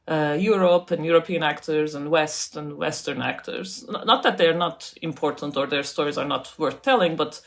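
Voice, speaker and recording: A woman's voice: low voice, normal speed, hardly any pauses. Laughing, thoughtful woman from Turkey. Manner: thoughtful, laughs often, many restarts. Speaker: author and scholar guest. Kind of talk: casual interview talk.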